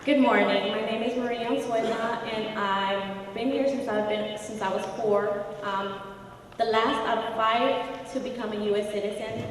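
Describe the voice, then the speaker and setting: A woman's voice: fairly high pitch, steady tone, moderate pace, noisy background. A woman giving plain, sincere thanks as a new citizen. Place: large courtroom or hall. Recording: echoey hall, heard from far off.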